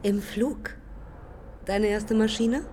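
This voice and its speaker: A woman's voice: medium pitch, steady tone, takes it slowly, darkish tone, in a large hall, slight background noise, natural voice. An actress playing a self-possessed young woman aviator in a German radio drama, speaking in terse, clipped fragments. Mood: terse, proud. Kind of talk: German public radio drama, lyrical dialogue.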